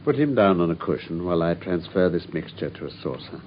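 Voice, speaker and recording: Posh-minded english detective, very sure of himself, voice leaps about, old radio drama, dull sound with some hiss. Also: male voice, deep voice, animated, a little fast. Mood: measured, methodical. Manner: precise, theatrical, commanding. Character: brilliant, excitable, self-assured English gentleman detective. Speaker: radio actor. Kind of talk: mid-century BBC radio drama acting. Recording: muffled, faintly noisy old radio recording.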